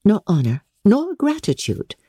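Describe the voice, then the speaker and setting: A woman voicing a resolute, resentful young gentlewoman in a dramatic novel reading, with a firm, formal, dignified manner. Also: mid-range voice, wildly swooping pitch, a little slow, husky, no echo, studio quality, pitched above the performer's own voice, full character voice. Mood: defiant, composed.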